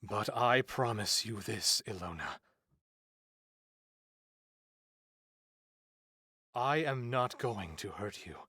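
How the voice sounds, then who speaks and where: Husky, brooding cursed man. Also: fairly low pitch, lively intonation, bright, forceful tone, heavily acted. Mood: solemn, resolute. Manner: brooding, theatrical, halting, intense. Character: tormented cursed man, lovesick, fearing his own bloodlust. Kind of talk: dramatic visual novel monologue.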